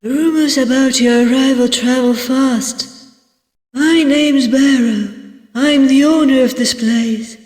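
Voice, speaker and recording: A woman's voice: high voice, steady tone, slow pace, a little mellow, pitched above the performer's own voice. A woman voicing a cheerful, obliging male tavern keeper in a detective game, chatty and politely servile. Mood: obliging, welcoming. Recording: echoey, reverberant room, distant mic.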